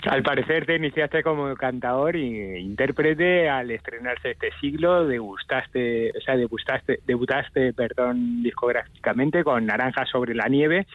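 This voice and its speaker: A man's voice: mid-range voice, no room sound, phone-quality sound. A man, a music journalist, chatting and joking on a radio interview and putting questions to a singer. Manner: joking, chatty, trips over words and corrects himself.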